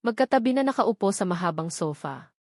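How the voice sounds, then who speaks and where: A woman's voice: mid-range voice, lively intonation, fairly quick, no echo, clean studio sound. A woman with a Filipino accent reading a first-person romance novel as a scripted narration. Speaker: audiobook narrator.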